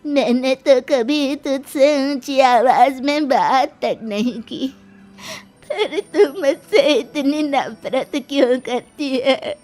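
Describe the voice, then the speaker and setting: A woman voicing a gentle elderly grandmother in a family storybook tale, tearful and imploring. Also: high voice, slow, faint music behind, pitched above the performer's own voice. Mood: hurt, pleading. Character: frail, pleading, gentle elderly grandmother-in-law.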